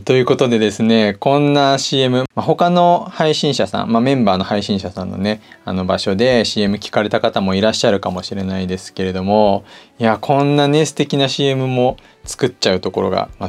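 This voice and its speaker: A man's voice: fairly low pitch, a little fast, gravelly, hardly any pauses. A man hosting a podcast, talking casually and politely in a conversational way. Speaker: podcast host. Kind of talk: casual solo talk.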